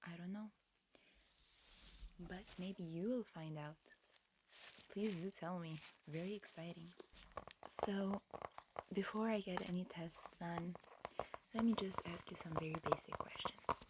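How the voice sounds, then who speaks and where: A woman voicing a polite alien examiner in human guise, whispering softly and hesitantly in an ASMR roleplay. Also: on the low side, measured pace, long pauses, background noise, lightly acted. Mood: softly confiding. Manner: soft, hesitant, politely procedural.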